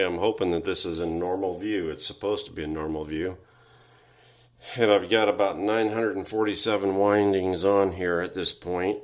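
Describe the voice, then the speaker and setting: A man casually walking through a repair at his home workbench, thinking aloud with frequent pauses and ums, recorded on a phone on a tripod. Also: deep voice. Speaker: vintage electronics repair host. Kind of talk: casual workshop walkthrough. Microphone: phone camera on a tripod.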